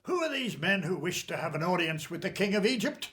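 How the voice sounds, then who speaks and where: An actor playing a haughty, irritable Egyptian Pharaoh in a radio drama, imperious and dismissive. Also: male voice, high voice, big pitch swings, brisk, sharp tone, full character voice. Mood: bored, dismissive.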